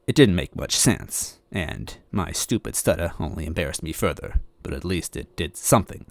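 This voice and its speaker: A man's voice: low voice, expressive, normal speed, creaky, no room sound. A man reading a story aloud as a dramatic first-person narrator. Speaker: story reader.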